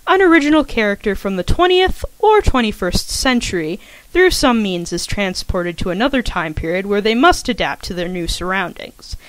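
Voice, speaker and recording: A woman's voice: mid-range voice, expressive, moderate pace, dry recording. A young adult woman reading her own casual commentary as an author, chatty and self-deprecating, now and then stumbling over her words. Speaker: fan fiction author. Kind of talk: casual scripted personal commentary, read aloud.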